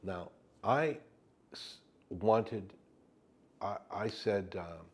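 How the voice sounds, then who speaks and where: A man whose first language is Yiddish recounts a story in an interview, his deep voice with a husky grain. He tells it with animation and slips into the dialogue he quotes. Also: lively intonation, drawn-out words. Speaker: actor interviewee. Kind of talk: anecdotal storytelling in an oral history interview.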